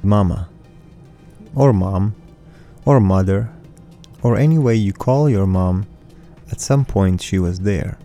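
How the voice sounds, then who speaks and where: A man in his thirties whose first language is Russian, hosting a podcast and reading his own lyrics in an earnest, measured recitation. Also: on the low side, warm tone. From Russian-speaking background. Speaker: podcast host. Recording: faint music bed behind voice.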